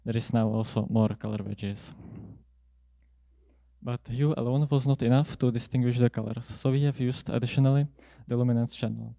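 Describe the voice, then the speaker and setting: A young man giving a prepared academic talk as a student researcher, explaining in a measured, somewhat halting way. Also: medium pitch, steady tone, gravelly, dark tone, no room sound. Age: young adult. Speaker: student researcher presenting a paper. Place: indoor seminar room at a lectern. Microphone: handheld microphone close to mouth.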